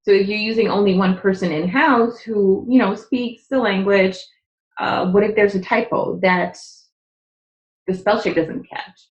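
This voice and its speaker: A woman's voice: mid-range voice, a little slow, roomy sound, dull audio. A woman who grew up speaking Czech, a guest on a podcast, explaining things earnestly with many fillers. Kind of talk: conversational interview.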